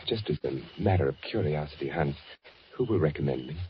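Undercover agent for 1940s radio drama: deep, animated yet deliberate, posing as an architect. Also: male voice, a little hiss or hum. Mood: wry, conspiratorial. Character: shrewd undercover spy posing as an architect, also narrating. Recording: dull, band-limited 1940s radio recording.

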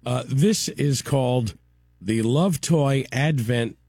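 A man's voice: medium pitch, vocal fry, a little mellow, no echo. A man hosting a morning radio show, reading news items and chatting, his voice still recovering from being sick. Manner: brisk news read with fillers in chat. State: voice recovering after illness.

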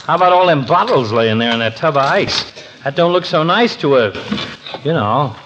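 A man's voice: on the high side, lively intonation, moderate pace, natural voice. An actor playing a brash, folksy small-town barber in 1940s radio comedy, slangy and cocky in his delivery. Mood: wisecracking. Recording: muffled, noisy 1940s radio broadcast.

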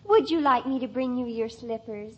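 An actress playing an eager, overly sweet young daughter in a 1950s radio family sitcom, chirpy and solicitous. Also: female voice, a little high, normal speed, a little mellow, natural voice. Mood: sugary, eager. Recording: dull old radio, audience behind.

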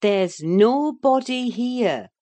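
A woman's voice: fairly low pitch, animated, takes it slowly, a little mellow. A woman voicing a sensible, firm female servant in a classic-novel audiobook, matter-of-fact, insistent and chiding as she soothes her mistress.

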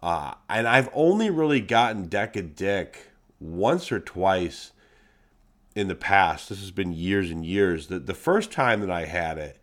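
A man's voice: low voice, a little slow. A man hosting his own podcast, talking casually and bluntly with a congested, nasal voice and lots of swearing. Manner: blunt, profane, animated. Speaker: podcast host. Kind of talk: casual solo podcast monologue.

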